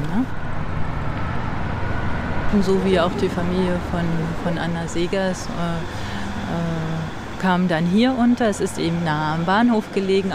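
A local guide explaining things informally and haltingly while out in a city quarter. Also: mid-range voice. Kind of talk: informal spoken explanation on location. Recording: noisy outdoor city street with traffic.

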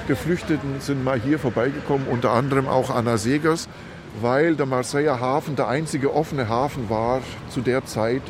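An older man, a city guide, giving a spontaneous explanation in a halting way, searching for his words. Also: medium pitch. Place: outdoors in a city. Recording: noisy outdoor city street recording. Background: urban noise, vehicles.

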